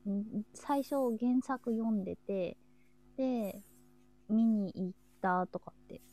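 A woman's voice: mid-range voice, slow, mellow. A young woman chatting casually and informally as co-host of a group livestream. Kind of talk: casual chat.

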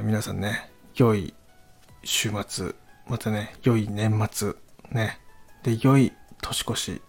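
Casting: male channel host, gravelly and low, polite halting announcement style, faint music bed. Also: a little mellow. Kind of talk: casual promotional announcement.

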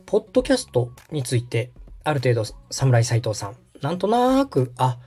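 Husky mellow host walking someone through a tutorial step by step, music behind. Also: mid-range voice, very animated, normal speed. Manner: explanatory, checks the listener's understanding. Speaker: host and radio director giving a tutorial.

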